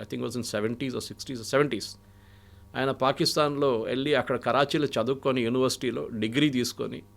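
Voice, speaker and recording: An Indian man speaks as a guest analyst, with a noticeably creaky voice at a middling pitch. He lays out political stories and anecdotes, moving back and forth between Telugu and English. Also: a bit bright. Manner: mixes English phrases into Telugu.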